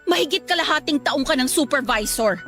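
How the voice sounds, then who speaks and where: High-voiced Filipino wife and mother. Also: lively intonation, a little fast, a bit bright, soft background music. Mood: sarcastic, reproachful. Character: fed-up, sarcastic wife and caring young mother. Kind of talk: radio drama acting.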